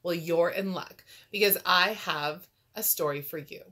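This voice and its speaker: A woman's voice: lowish voice, unhurried, pushed and bright. A woman voicing a genial, bossy male patriotic storyteller in a children's storybook, with a hearty, declarative delivery. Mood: hearty welcome. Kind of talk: children's storybook read-aloud.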